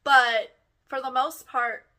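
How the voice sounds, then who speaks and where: A young woman with a Texas accent talks frankly and casually about her own life. Her voice has a bright, forceful edge. She keeps checking in with the listener as she goes. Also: high voice, steady tone, slow. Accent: Texan. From Germany. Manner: chatty, frank, peppered with "like" and "right?". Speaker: podcast host. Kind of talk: casual personal storytelling.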